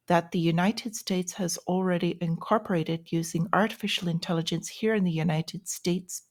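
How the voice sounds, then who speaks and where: A woman hosting an informal market news update, explaining things in short, measured phrases with small pauses. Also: on the low side. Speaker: host of a daily finance update show.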